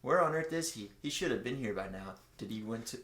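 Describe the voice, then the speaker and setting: A man's voice: medium pitch, animated, fairly quick, over a noisy crowd. A man co-hosting a casual comedic read-aloud of fan fiction, stumbling over words and correcting himself as he goes. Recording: roomy, echoey home recording.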